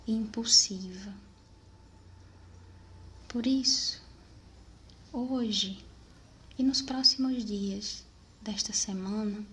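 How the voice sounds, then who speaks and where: A Brazilian woman guides a meditation at a slow pace. Her voice sits at a medium pitch for a woman, and she leaves pauses between measured phrases. Faint noise runs behind her.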